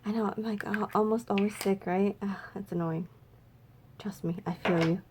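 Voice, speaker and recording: Slightly raspy, congested woman chatting casually. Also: medium pitch, steady tone, moderate pace, noisy background. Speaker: makeup vlogger. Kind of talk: casual makeup swatch review chat.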